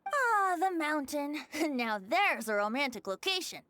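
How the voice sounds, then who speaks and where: A woman's voice: high-pitched, very animated, unhurried, bright, forceful tone, a little hiss or hum, exaggerated voice. A woman voicing a playful, whimsical boyish bard in an English video game dub, with a theatrical, breezy manner. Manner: theatrical, lilting.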